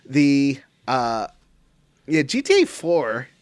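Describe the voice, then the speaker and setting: A wry man chatting on a gaming podcast, his pitch rising and falling a lot. The sound is dry and close, and he often trails off mid-thought. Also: big pitch swings, slow pace, no echo. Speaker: podcast co-host. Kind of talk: casual chat.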